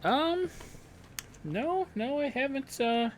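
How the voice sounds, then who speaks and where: A man's voice: extremely high pitch, animated, slow, a little hiss or hum, pitched above the performer's own voice, heavily acted. A man doing a chatty female elf barkeep. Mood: friendly. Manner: hesitant, lots of fillers.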